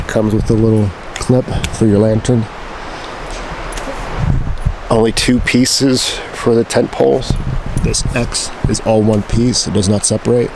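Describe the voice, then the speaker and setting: A man in his thirties talks casually through a gear review in a low voice with plenty of fry. He is understated and unscripted. The sound is handheld camera audio from outdoors, with clear background noise and clicks. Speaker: camping vlogger reviewing gear. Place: outdoors at a forest campsite, inside and beside a tent. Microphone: handheld camera at arm's length.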